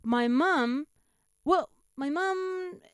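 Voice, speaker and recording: A woman from Spain with a Spanish accent, chatting casually as a comedian guest, animated and self-deprecating. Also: sits high, extremely slow, dry recording, studio quality. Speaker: stand-up comedian, interview guest. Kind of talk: casual chat, telling anecdotes.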